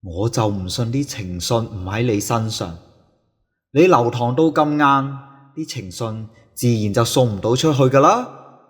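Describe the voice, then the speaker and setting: A man's voice: mid-range voice, unhurried, slightly rough. A man playing a strict, suspicious school discipline master in an audio drama, scolding and interrogating. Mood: indignant, commanding. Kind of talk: Cantonese radio drama acting.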